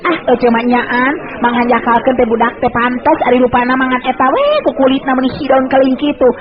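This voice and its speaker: A man's voice: very high voice, little pitch movement, brisk, highs cut off, crowd noise, pitched above the performer's own voice. A male storyteller voicing a brash, boastful teenage boy fighter in an old radio tale, cocky and whiny. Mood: chatty.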